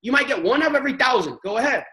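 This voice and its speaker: A man's voice: very high voice, expressive, rapid-fire, pushed and bright. A young man on a sales panel, giving a motivational training talk in a casual, slangy way and acting out sample chats. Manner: emphatic, coaching delivery. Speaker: network marketing panelist.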